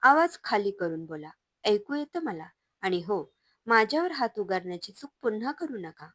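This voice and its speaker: A wounded yet unbending young wife and mother, as a woman reading a story voices her. She speaks firmly and defiantly with a lively, expressive pitch, recorded dry and close. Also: normal speed, no room sound. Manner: firm, defiant, raised voice. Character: hurt but resolute young wife and mother.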